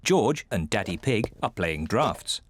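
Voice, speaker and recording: A man narrating a children's cartoon in a clear, friendly storybook manner. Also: fairly low pitch, big pitch swings, slow pace, heavy background noise.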